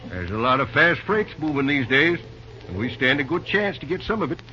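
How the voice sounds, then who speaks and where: Folksy old railroad boss, rural drawl, fatherly, bright lively voice, old radio play. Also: fairly high pitch, fairly quick. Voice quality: rural drawl, says ain't and reckon. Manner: chatty, easygoing, repeats words. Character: folksy older male railroad manager, fatherly. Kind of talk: 1930s radio acting. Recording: dull old radio transcription with faint hiss.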